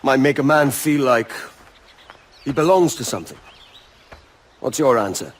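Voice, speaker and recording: A man's voice: mid-range voice, expressive, normal speed, lightly acted. A man as a roguish Welsh pirate captain. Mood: guarded. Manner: wry, plain-spoken. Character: roguish, blunt, sceptical young pirate captain. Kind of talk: dramatic game cutscene acting.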